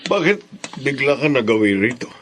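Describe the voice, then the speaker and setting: A man's voice: mid-range voice, very animated, normal speed, fairly bright tone. An actor playing a guilty, apologetic middle-aged father in a radio drama. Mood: guarded.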